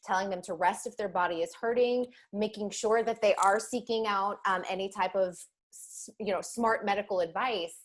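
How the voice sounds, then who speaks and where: A woman's voice: medium pitch, normal speed. A woman interviewing a guest in a chatty, casual way, laughing easily. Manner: chatty, laughs often, animated asides.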